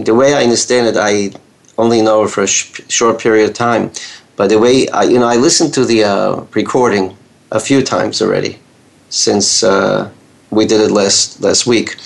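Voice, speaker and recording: A man's voice: on the low side, normal speed, vocal fry. A man hosting a radio interview who speaks hesitantly, with many fillers and restarts. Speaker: radio host.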